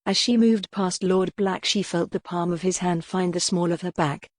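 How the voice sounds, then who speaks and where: A woman's voice: fairly low pitch, steady tone, normal speed, no room sound, studio quality. A woman reading scripted narration from a historical romance novel as an audiobook narrator.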